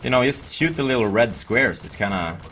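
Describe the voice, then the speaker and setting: A man's voice: mid-range voice, lively intonation, a little fast, fairly bright tone, slight background noise. A man from Sweden with a Swedish accent gives casual, rambling and jokey commentary over gameplay. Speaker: gaming video creator.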